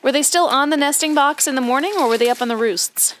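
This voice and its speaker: A woman's voice: high-pitched, fast. Sad woman vlogging in her backyard. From Canada. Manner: soft-spoken, rambling with many fillers. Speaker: homestead vlogger. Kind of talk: casual vlog to camera. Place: outdoors in a rural backyard. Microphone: camera held at arm's length. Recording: outdoor camera audio with background noise.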